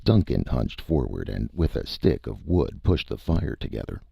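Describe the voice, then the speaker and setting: A man's voice: very deep voice, sing-song, normal speed, vocal fry, slightly rough, no room sound, highs cut off. A man narrating a scripted story as a dramatic audiobook reader.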